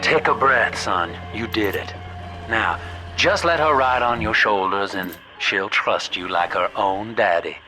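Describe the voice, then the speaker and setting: A man's voice: fairly low pitch, a little slow, over music, natural voice. A man playing a folksy, scheming businessman with an American Southern accent, guiding the player in a video game with avuncular salesman patter. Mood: avuncular, wry. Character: folksy, scheming male businessman, smooth opportunist guide. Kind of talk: video game voice acting, radio guidance.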